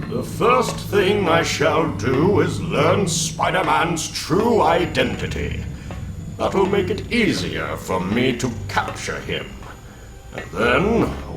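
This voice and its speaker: A man voices a grandiose comic book villain, cold and menacing. He speaks slowly and theatrically, savoring each scheme, with music behind him. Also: mid-range voice, roomy sound. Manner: deliberate, pompous, theatrical. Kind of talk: dramatic comic book reading.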